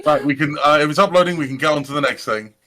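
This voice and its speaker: A man's voice: a little high, fast. A man hosting a casual live stream, stammering and repeating words as he talks. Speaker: host. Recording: clearly noisy background with clicks.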